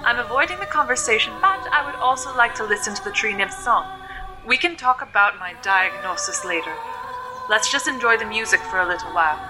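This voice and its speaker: A woman's voice: on the high side, animated, brisk, lightly acted, low-quality recording. A woman acting in an audio drama as a cryptic, politely stubborn young female vampire. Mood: calmly stubborn. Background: music.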